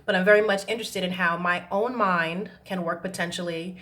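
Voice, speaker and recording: A woman's voice: fairly low pitch, fairly even intonation, fairly quick, fairly bright tone. Woman, hesitant reflective talk. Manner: hesitant, many "um"s and restarts. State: recovering from laryngitis, slight roughness. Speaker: self-help vlogger. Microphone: camera mic.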